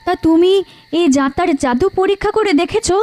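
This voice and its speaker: A woman voicing an excitable, doubting poor village wife in a cartoon folk tale, exclaiming and questioning. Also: very high-pitched, brisk. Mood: doubtful.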